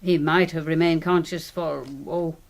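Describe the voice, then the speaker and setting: A woman's voice: sits low, flat delivery. Composed, precise British woman doctor. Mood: clinical. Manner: measured, precise. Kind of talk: radio drama acting.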